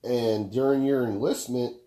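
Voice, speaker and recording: A young man with a deep baritone voice, talking casually on a podcast, laid-back and swearing freely as he explains through long stories. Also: steady tone, unhurried, dark tone. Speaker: podcast co-host.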